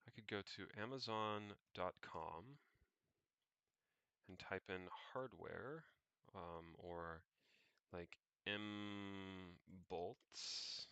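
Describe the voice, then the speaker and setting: Low-voiced man thinking aloud, slow and halting. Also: creaky, bright, forceful tone, clear, resonant tone, no echo. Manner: halting, pausing mid-sentence, muttering to himself. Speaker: solo livestreamer. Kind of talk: casual think-aloud screen-share narration.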